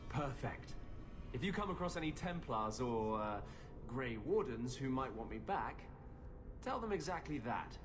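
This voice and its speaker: An actor playing a wry, sarcastic runaway mage in a fantasy video game. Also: male voice, medium pitch, sing-song, measured pace, noisy background. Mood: wry, sardonic. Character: sardonic runaway male mage, former Grey Warden. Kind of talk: fantasy game cutscene acting. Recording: music behind the voice. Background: music.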